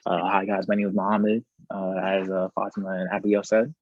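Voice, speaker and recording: A young man talks through a video call in a loose, meandering way, leaning on fillers and restarts. His voice sits fairly low and stays flat, with a slightly husky edge. The audio is dry and muffled. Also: brisk, no echo. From New York. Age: young adult. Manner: rambling, filler-heavy, laid-back. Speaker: student presenter. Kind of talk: casual video call presentation.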